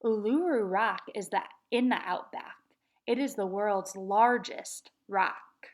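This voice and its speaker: A woman kindergarten teacher reading aloud to young children at a very unhurried pace. She draws out words in a patient, sing-song chant so the class can repeat after her. Her voice sits at an ordinary middle pitch.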